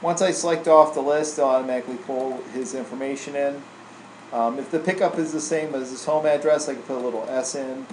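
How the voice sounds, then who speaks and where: Guy doing a laid-back informal software tutorial, roomy echo, bit of background noise. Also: mid-range voice, steady tone, fairly quick. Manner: casual, informal, tags statements with "right?". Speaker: software trainer. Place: indoor room, screen recording voice-over. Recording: roomy echo, faint air-conditioning hum.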